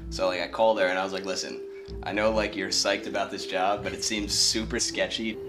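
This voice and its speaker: Deep-voiced young man telling a story. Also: little pitch movement, brisk, over music. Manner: laid-back, joking, many fillers. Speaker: interviewee, farmer's husband. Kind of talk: casual storytelling. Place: home kitchen and living room.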